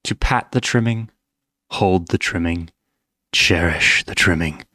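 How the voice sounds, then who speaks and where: Deep-voiced man doing joking reaction commentary. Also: a little slow, bright, forceful tone, dry recording, clean studio sound. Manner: playful, mock-scolding, joking, profane. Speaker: host reacting to horror videos.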